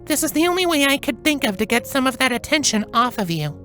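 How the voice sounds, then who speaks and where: Woman doing a kind young winged pony gal from a story, high animated voice, composed and gentle. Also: quick pace, soft background music. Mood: earnest. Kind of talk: fan fiction character reading.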